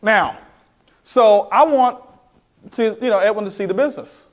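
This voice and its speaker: A man's voice: high voice, moderate pace. A man speaking as a sales trainer at a motivational seminar, emphatic and often putting questions straight to his listeners. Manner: emphatic, addresses the audience with questions. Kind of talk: motivational sales seminar talk with role-played phone calls.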